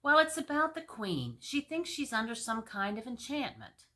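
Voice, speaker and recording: A woman's voice: a little high, sing-song, normal speed, pushed and bright, pitched above the performer's own voice. A woman playing a lovesick, exasperated and foolish king in a storybook, scoffing and hapless in manner.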